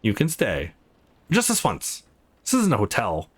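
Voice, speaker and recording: A man voicing a tough but secretly soft young woman in a visual novel, blunt, sarcastic and reluctant. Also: on the low side, huge pitch swings, a little slow, husky, voice pushed down, heavily acted. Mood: grudging.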